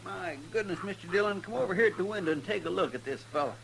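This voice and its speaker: An actor playing an excitable, naive and deferential marshal's sidekick with an in an old radio drama. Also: male voice, high voice, fast, gravelly, full character voice. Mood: excited, wondering. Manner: eager, folksy. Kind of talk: old-time radio western acting. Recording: noisy, humming vintage radio recording.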